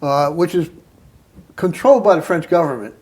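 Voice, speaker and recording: A man with a high voice co-hosts a community TV chat about energy. He talks casually and jumps in often to add to his partner's points. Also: normal speed, darkish tone. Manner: chatty, interjecting and finishing his partner's points. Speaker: co-host of an energy talk show.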